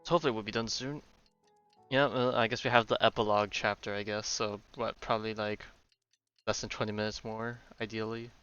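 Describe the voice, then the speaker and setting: A man's voice: lowish voice, steady tone, brisk, a bit bright, clear, resonant tone. A man giving casual, offhand and rambling commentary while livestreaming a game. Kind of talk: casual live gameplay commentary. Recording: slightly dull sound, faint game music.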